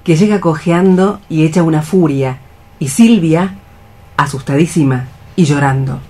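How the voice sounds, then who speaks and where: A man's voice: fairly high pitch, measured pace, very noisy. A man narrating radio theatre, reading out scripted stage directions. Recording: faint music behind the voice. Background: faint music.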